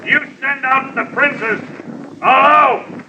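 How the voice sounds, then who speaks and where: A man's voice: very high voice, steady tone, slow, vocal fry, sharp tone, heavily acted. An actor playing a tough, suspicious, menacing gunman with slangy speech in an old-time radio drama. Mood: grudging, menacing. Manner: slangy, menacing, barked from a distance. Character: tough, suspicious gun-toting villain, loud and menacing. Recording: distant, muffled, noisy old radio recording.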